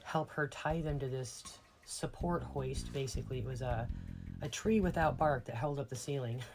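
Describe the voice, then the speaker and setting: A woman's voice: extremely low pitch, normal speed, background noise. A middle-aged American woman telling personal stories in a casual, rambling, reflective monologue. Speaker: personal storyteller.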